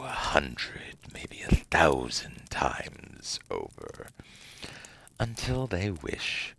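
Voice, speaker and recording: Guy doing a seductive devil for asmr, slow breathy gravelly whisper, sly and teasing, pitch slides around a lot. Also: bright, forceful tone, exaggerated voice, poor audio. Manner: theatrical, drawn-out, teasing. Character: seductive, cunning otherworldly male devil offering tempting deals.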